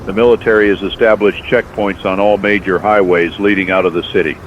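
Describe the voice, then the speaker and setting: A man narrating a scripted first-person horror story as a radio host, calm and reflective. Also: low-pitched, fairly quick. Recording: dull lo-fi recording with faint noise.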